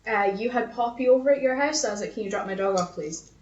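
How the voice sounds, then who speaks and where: A woman's voice: on the high side, fast, darkish tone. A woman co-hosting a podcast in casual, sweary, giggly chat, recounting personal stories. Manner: informal, sweary, often giggly. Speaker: podcast co-host. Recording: echoey room, slightly dull sound.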